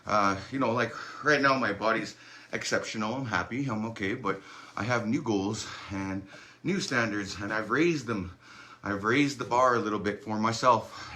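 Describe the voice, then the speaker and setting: A man leading a warm-up and stretching class in a relaxed, encouraging, chatty way, adding small asides as he goes. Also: mid-range voice. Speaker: fitness instructor. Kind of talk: guided workout instruction.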